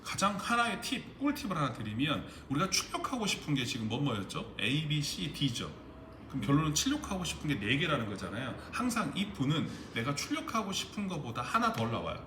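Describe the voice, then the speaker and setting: Male instructor, exam-prep lecture, animated up-and-down delivery, constant comprehension checks, echoey room, audible background noise. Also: a little high, fairly quick. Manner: explains step by step, keeps checking understanding with questions. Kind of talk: recorded lecture on spreadsheet formulas.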